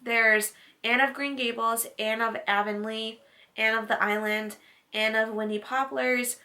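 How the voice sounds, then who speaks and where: A woman's voice: on the high side, fairly even intonation, a little slow, bright, forceful tone. A woman in her late twenties, a book vlogger chatting casually to camera, with lots of "like" fillers. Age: late 20s.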